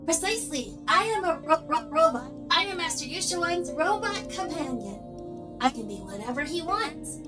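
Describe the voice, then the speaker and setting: A woman's voice: high voice, lively intonation, normal speed, loud music behind the voice. A woman voicing an eager, polite little robot butler for a visual novel game, with a glitchy stutter. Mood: proud, glitchy. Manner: stuttering, servile.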